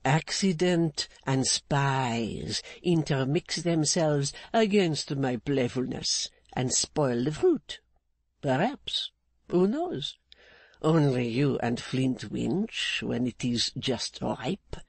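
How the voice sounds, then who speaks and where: A man voicing a menacing, swaggering male blackmailer who talks in a slow, mocking, insolent way. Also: medium pitch, animated, creaky, no echo, exaggerated voice. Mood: sneering.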